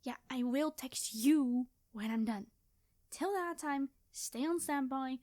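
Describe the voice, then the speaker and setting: A woman's voice: sits high, slow, a little mellow, no room sound, studio quality. A woman playing a quirky, chatty time traveler from the future, rambling and flustered, in an ASMR roleplay. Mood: hushed, exasperated. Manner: rambling, flustered, hesitant, cheery. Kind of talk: ASMR storytelling roleplay, one-sided conversation.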